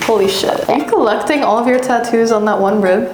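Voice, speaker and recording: A woman's voice: fairly high pitch, brisk, a little mellow. A young woman from Hungary, a tattoo artist, chatting casually with a client, laughing often and swearing. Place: tattoo studio, indoors. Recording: echoey room with music behind.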